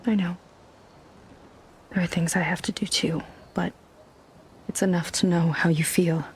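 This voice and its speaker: An actress playing a frank, warm-hearted young woman sailor in a video game cutscene, with a candid, dryly teasing, natural delivery. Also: on the low side, fairly even intonation, measured pace, slight background noise, close to an everyday voice. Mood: tender, sincere.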